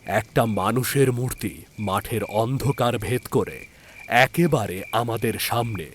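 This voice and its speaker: A man narrating a horror story in a dramatic, measured and suspenseful manner. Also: fairly low pitch, slight background noise.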